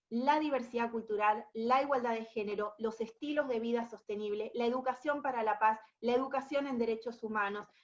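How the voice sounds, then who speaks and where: High-voiced Argentine woman explaining. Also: highs cut off. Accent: Argentine Spanish. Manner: explanatory, frequent tag questions and restarts. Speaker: UNESCO education specialist. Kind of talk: presentation talk.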